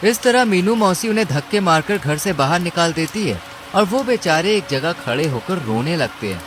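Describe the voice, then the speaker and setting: A man's voice: fairly high pitch, animated, normal speed, noisy background. A man narrating a scripted cartoon story as its storyteller.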